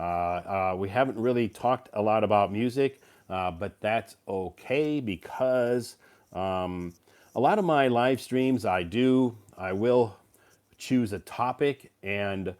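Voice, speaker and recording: Deep-voiced man rambling on a live stream. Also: a little mellow. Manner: rambling, many fillers and restarts. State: recovering from a cold. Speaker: live stream host and English teacher. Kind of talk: casual live stream chat.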